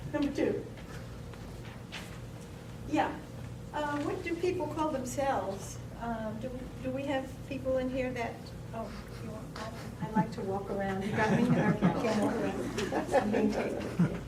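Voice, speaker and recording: A woman moderating a panel discussion in a meeting room, chatty and relaxed with fillers and little laughs. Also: a little high, unhurried, crowd noise. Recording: echoey room, far from the mic.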